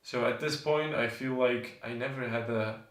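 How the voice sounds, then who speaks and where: A man's voice: mid-range voice, fairly even intonation, normal speed, a bit bright, roomy sound. A man in his twenties from southern Turkey, with a Turkish accent, speaking reflectively in an interview and hesitantly searching for words. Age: 20s. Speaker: student, documentary subject.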